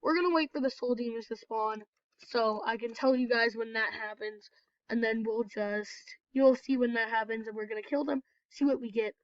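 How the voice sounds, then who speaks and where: Stuffy nasal girl with a cold rambling thru her gameplay, kinda high voice, dead dry muffled mic. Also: fairly even intonation, moderate pace, no echo. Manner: chatty, rambling, apologetic. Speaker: gameplay video host. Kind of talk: casual game commentary. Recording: dull, lo-fi, dry recording.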